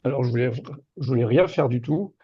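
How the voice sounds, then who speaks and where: An older man, a native Lorraine Platt speaker from Moselle, talking about books in a hesitant, animated way with many restarts over a video call. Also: medium pitch, brisk, slightly rough. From Moselle-Est, France. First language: Lorraine Franconian (Platt). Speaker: author. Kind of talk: casual literary discussion. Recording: dull lo-fi video call audio.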